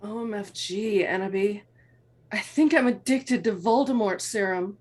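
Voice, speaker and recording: A woman's voice: mid-range voice, unhurried, lightly acted. Woman reading as crude-mouthed goth teacher. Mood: sulky, dramatic. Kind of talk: amateur dramatic reading of fan fiction.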